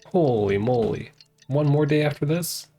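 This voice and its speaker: A woman's voice: extremely low pitch, lively intonation, moderate pace, warm tone, faint music behind. A woman giving casual live commentary as she plays a game, in short, dry, wry reactions. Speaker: game streamer.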